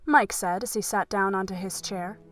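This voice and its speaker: A woman's voice: medium pitch, normal speed, a bit bright, faint music behind. A woman narrating a scripted story as the narrator of an audio drama.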